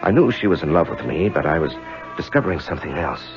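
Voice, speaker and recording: An actor playing an earnest, evasive young married attorney, hesitant and confiding, in a 1970s radio drama. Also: male voice, deep voice, lively intonation, fast, music in the background. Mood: confiding, troubled. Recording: dull lo-fi 1970s radio recording.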